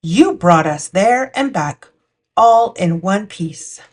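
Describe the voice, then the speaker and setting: A woman's voice: medium pitch, lively intonation, slow. Woman reading a children's storybook aloud. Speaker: children's picture book read-aloud host. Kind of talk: storytime read-aloud narration.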